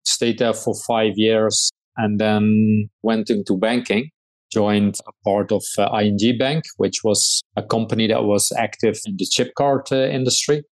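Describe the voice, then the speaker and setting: A middle-aged man from the Netherlands with a Dutch accent, a startup founder answering interview questions in a measured way with frequent hesitations. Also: on the low side, steady tone, low-quality recording.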